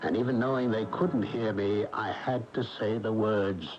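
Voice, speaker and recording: An actor playing a jealous, coldly vengeful husband who narrates in a brooding, dramatic radio mystery style. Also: male voice, lowish voice, fairly even intonation, moderate pace, noisy background, close to an everyday voice. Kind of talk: 1980 radio mystery acting, first-person narration. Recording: muffled AM radio broadcast, music behind.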